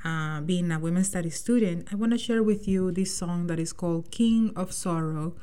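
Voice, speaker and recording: A woman's voice: fairly low pitch, normal speed, warm tone, smooth. A middle-aged woman from El Salvador hosting a community radio show, sharing a personal story in an earnest, reflective way. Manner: earnest, reflective, with many restarts and "right?" tags. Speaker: radio host.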